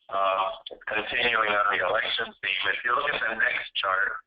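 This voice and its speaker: A middle-aged man giving a semi-formal financial presentation on a conference call, explaining haltingly and often rephrasing as he goes. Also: on the low side, steady tone. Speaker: investment chair presenting. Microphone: conference call line. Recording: dull, lo-fi phone conference audio.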